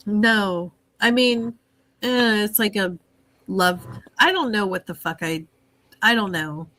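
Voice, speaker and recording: A woman's voice: mid-range voice, slow pace, a bit bright. A woman hosting a casual livestream chat while fighting a head cold, rambling and trailing off mid-sentence. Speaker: livestream host.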